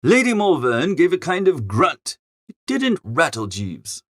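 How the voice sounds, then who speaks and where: A man's voice: on the high side, huge pitch swings, unhurried, fairly bright tone, no room sound, studio quality. A man narrating a comic first-person story as an audiobook reader.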